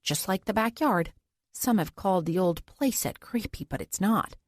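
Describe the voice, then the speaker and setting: A woman's voice: medium pitch, animated, normal speed, dry recording, close to an everyday voice. Woman voicing a doting, creepy male captor, very dry recording. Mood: proud, doting. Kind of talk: audiobook character dialogue.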